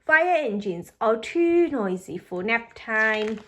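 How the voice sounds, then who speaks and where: Woman as an earnest little squirrel, animated and highish. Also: slow, slight background noise. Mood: sheepish. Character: eager, earnest young squirrel cub in a storybook.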